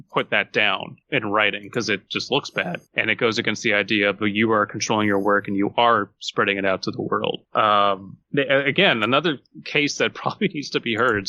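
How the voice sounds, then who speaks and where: A man's voice: on the low side, fairly quick, sharp tone, dull audio. A man co-hosting a podcast, explaining his opinions in casual conversation. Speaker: podcast co-host and narrator.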